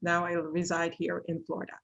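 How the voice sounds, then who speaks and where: A woman's voice: on the low side, monotone, brisk, creaky, a bit bright, lo-fi sound. A woman born in Haiti and raised in New York, an associate professor, introducing herself with a few brief hesitations.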